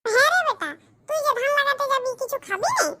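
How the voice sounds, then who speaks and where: A plain-spoken, affectionate village mother who fusses over her family in a comedy cartoon. Her voice is extremely high and bright, with a pitched-up filter. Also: expressive, fast, exaggerated voice. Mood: fond.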